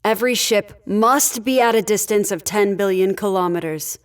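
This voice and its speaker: Lady acting a space leader, medium pitch, calm and decisive, gives orders, audio drama. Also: normal speed, close to an everyday voice. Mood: calm, in charge.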